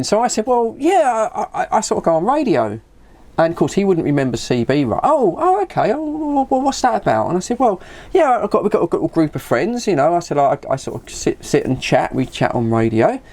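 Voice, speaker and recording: A man's voice: sits high, very animated, brisk, darkish tone. A middle-aged man with a British accent chatting casually and telling anecdotes, acting out remembered conversations and often repeating his words as he goes. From United Kingdom. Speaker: radio hobbyist vlogger.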